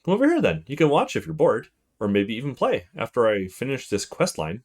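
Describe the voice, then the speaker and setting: A friendly young woman student from a visual novel, read out by a man. She talks casually and teasingly, with intonation that swings freely around a medium pitch. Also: very animated, a little fast. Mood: inviting.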